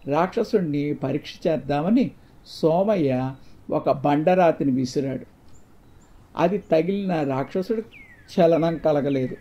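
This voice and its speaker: A man's voice: fairly high pitch, mellow. A man reading a scripted moral folk tale as a storyteller. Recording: dull, muffled lo-fi sound.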